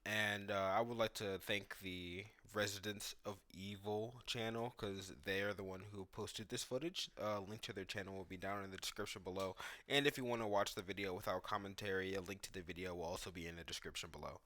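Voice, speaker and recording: A man's voice: low-pitched, fairly even intonation, brisk, hardly any pauses, bright, forceful tone, no echo. A man giving casual, analytical commentary on video game footage.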